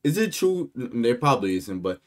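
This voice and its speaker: A man's voice: medium pitch, big pitch swings, a little fast. Young American male streamer, headset mic, loose rambling jokey reaction chatter. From United States. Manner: loose, rambling and joking, talking to chat. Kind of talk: casual live-stream commentary.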